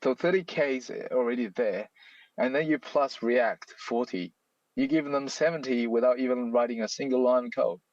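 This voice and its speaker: A man's voice: medium pitch, normal speed, dry recording. Chinese-accented man on a dull, dry line. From China. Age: 30s. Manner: animated, emphatic, frequent restarts. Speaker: guest, software library author. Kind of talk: casual interview chat.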